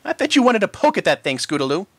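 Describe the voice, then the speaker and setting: Here is a fussy, prim little pony filly as a man puts her on. The voice is very high and lilting, with wide swoops in pitch. It sounds dry and close. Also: very animated, fast, a bit bright, no room sound, full character voice. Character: young female pony, prim and easily flustered filly.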